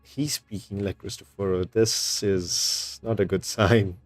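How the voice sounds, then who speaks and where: A man's voice: lowish voice, steady tone, unhurried, dry recording, soft background music. A man streaming a video game, giving casual playthrough commentary as he reacts to the story.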